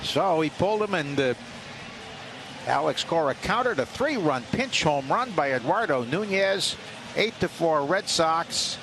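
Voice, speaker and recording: Man, radio baseball play-by-play, slightly high pitch, conversational, hesitant pauses, stadium noise behind. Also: expressive. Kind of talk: live play-by-play commentary. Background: outdoor ballpark ambience.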